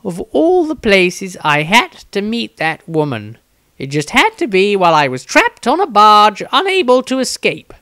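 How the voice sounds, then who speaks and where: A man's voice: high voice, huge pitch swings, unhurried, pushed and bright, no room sound, studio quality, pitched above the performer's own voice, full character voice. A man voicing a nervous, flustered wizard with a melodramatic, fretful manner in a fantasy story. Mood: exasperated. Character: flustered, nervous, theatrical male wizard diarist.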